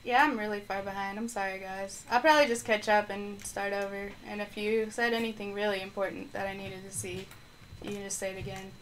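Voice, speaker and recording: A woman in the middle of a live game stream, talking easily to her viewers between matches. Her pitch sits at an ordinary middle height, and she rambles and thinks out loud in a relaxed, conversational way. A faint hiss of noise sits under her voice from a mic set on the floor. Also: brisk, low-quality recording. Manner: chatty, thinking aloud and reading out chat. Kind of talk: live gameplay stream commentary. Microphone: microphone on the floor.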